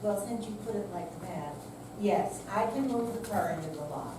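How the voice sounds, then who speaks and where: A woman's voice: mid-range voice, expressive, moderate pace, natural voice. An older woman playing an anxious, long-suffering, nagging elderly wife in a staged reading, fretful and hesitant. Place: senior center hall, live performance. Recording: echoey room, distant mic, loud noise.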